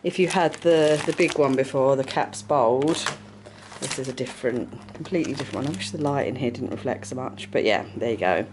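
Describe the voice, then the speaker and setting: A woman's voice: a bass voice, brisk, noisy background. An English woman hosting a casual craft vlog, chatty and upbeat, with lots of fillers. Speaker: vlogger, craft shop owner. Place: craft studio and shops.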